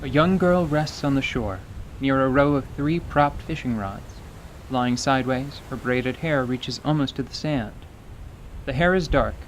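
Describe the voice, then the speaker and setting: A man narrating a scripted radio theater story in a measured, descriptive way. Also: mid-range voice, slight background noise, low-quality recording. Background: faint music.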